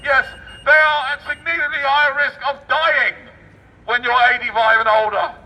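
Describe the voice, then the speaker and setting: Sharp, high-pitched English man, sarcastic street orator. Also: fairly even intonation, moderate pace. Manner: sarcastic, declamatory, dropping into muttered asides. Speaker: street performer reading a newspaper aloud. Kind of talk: satirical public read-aloud with ad-libbed commentary. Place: outdoor public street. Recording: distant mic outdoors with faint traffic noise.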